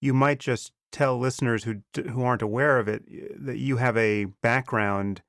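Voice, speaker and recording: Podcast host guy, gravelly voice, calm and thoughtful, stumbles a bit on restarts. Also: mid-range voice, no room sound, clean studio sound. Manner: measured, thoughtful, some stammered restarts. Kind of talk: conversational interview.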